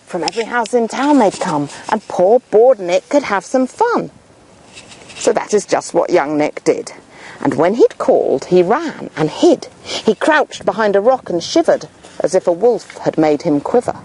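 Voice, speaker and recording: Lively woman reading a rhyming children's story. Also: medium pitch, unhurried, slight background noise. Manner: expressive, theatrical delivery. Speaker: children's author reading aloud.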